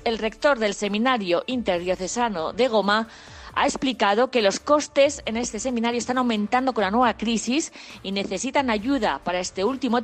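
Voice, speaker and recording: Female radio news reader, medium pitch. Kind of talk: scripted radio news reading.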